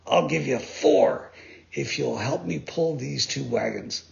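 A man's voice: medium pitch, animated, takes it slowly, creaky, full character voice. A man doing a blunt, scolding coal hauler. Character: gruff tired adult male coal hauler. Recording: dull lo-fi recording.

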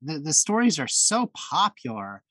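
A man's voice: on the high side, sing-song, normal speed, pushed and bright, no echo. A man hosting a podcast, chatting in a friendly, enthusiastic way with frequent restarts and fillers. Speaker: podcast host. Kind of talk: casual podcast chat.

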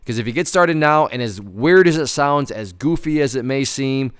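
A man's voice: mid-range voice, moderate pace, clean tone. A man in his thirties giving informal, conversational commentary as a host, stressing points emphatically and repeating words. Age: 30s. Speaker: host commenting on personal finance. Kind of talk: informal commentary on an article, partly read aloud.

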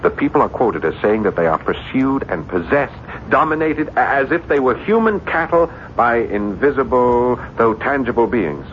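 An actor playing a desperate, stubborn, haunted writer in a radio drama, speaking urgently and sometimes haltingly. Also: male voice, mid-range voice, sing-song, natural voice. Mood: agitated, insistent. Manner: urgent, agitated, halting when distressed. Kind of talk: 1970s radio drama acting. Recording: muffled, noisy 1970s radio recording.

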